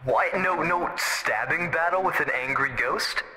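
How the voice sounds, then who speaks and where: A man's voice: fairly high pitch, measured pace, pushed and bright, slight background noise, voice pushed up, poor audio. An actor playing a theatrical young late-night radio host, talking in a showman's patter in an audio drama. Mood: baffled.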